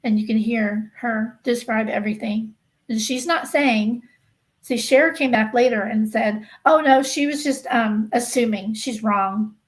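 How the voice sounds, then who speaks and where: A woman's voice: fairly high pitch, unhurried, rough recording. A woman hosting a casual livestream, talking emphatically and insistently to her viewers and repeating herself to drive points home. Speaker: livestream host of a true-crime gossip channel.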